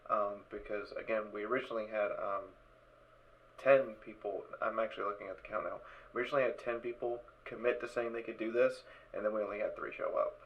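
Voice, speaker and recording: A man's voice: fairly low pitch, steady tone, fast. A man hosting an online dramatic reading, chatting casually and somewhat hesitantly as he makes rambling announcements to the group. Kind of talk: casual livestream chat after a show. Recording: muffled, noisy online voice-chat stream.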